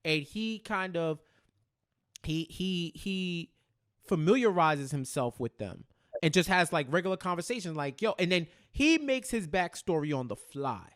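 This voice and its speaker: Higher-pitched American man, casual recap co-host. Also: lively intonation, measured pace, fairly bright tone. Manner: acts out characters' lines in slangy speech, repeating phrases for emphasis. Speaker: podcast co-host. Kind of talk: casual, animated TV recap chat.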